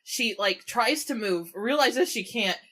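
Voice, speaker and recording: A woman's voice: on the high side, lively intonation, measured pace, bright, forceful tone. A woman playing a tabletop roleplaying game, casually describing what her character does.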